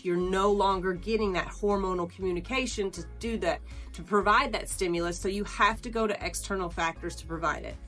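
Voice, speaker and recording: A woman in her forties, a wellness coach, explaining training step by step, slightly nasal as she gets over the flu. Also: fairly low pitch. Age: 40s. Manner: warm, earnest, explains step by step with pauses. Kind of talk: instructional talk to camera.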